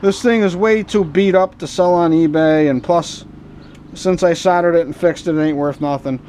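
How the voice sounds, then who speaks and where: A man's voice: a little high, moderate pace, darkish tone, a little hiss or hum. A man narrating a hands-on repair casually, muttering to himself in folksy, informal speech. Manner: talks to himself in muttered asides. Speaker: host of hobby repair and scrapping videos. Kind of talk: casual rambling workbench narration while working.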